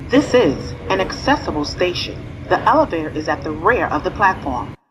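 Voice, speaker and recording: Lively-pitched female station announcer. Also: moderate pace, background noise. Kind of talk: scripted transit announcement.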